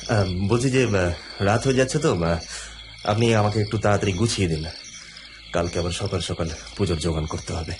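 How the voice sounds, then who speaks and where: A man's voice: deep voice, a little fast, music in the background, lightly acted. An actor playing an irritable, indignant male office worker in an audio drama, complaining and argumentative, sometimes stammering. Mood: impatient.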